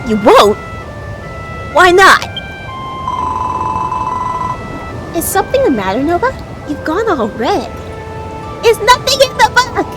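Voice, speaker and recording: A woman's voice: very high-pitched, big pitch swings, moderate pace, over loud music, pitched above the performer's own voice. A woman voicing a bossy, nosy young girl in a video game, with a pert, teasing, demanding manner. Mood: pushy, flustered.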